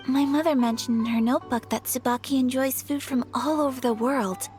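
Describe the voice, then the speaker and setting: An actress playing a gentle, polite young noblewoman in an anime-style video game, courteous and a little hesitant. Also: high voice, steady tone, a little fast, lightly acted. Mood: polite, earnest. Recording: faint game music behind.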